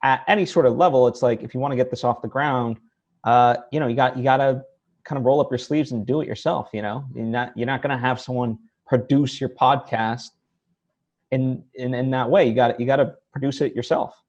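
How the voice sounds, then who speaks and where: A man hosting a casual, reflective interview, talking in a halting way and repeating words as he reasons. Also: mid-range voice, steady tone, a little mellow, muffled recording. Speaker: interview host.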